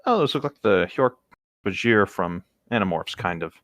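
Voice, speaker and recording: A man's voice: low voice, expressive, moderate pace, fairly bright tone, no echo. A man joking playfully in casual talk among friends as they read a story aloud. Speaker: co-reader in a group creepypasta reading.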